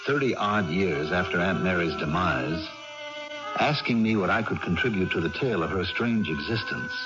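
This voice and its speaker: An actor playing an earnest, kindly middle-aged reverend in a 1980s radio drama, with measured, old-fashioned formal diction. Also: male voice, fairly low pitch, close to an everyday voice. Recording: muffled vintage radio broadcast with music.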